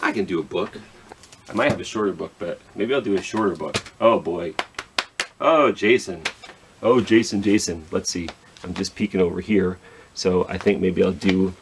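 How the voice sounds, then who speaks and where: A man's voice: lowish voice, expressive, a little slow, a little hiss or hum. A man hosting a children's storytime, reading aloud with chatty asides and questions to his listeners. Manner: chatty, self-talking, asks listeners questions. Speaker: children's library storytime host.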